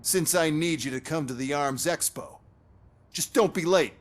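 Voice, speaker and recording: A male actor plays an arrogant, heartless company executive for a video game. His voice sits a little high for a man, and he talks down to everyone with mocking, self-satisfied relish. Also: normal speed, slight background noise, lightly acted. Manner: sneering, boastful, mocking. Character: smug, callous male corporate executive, gleefully arrogant.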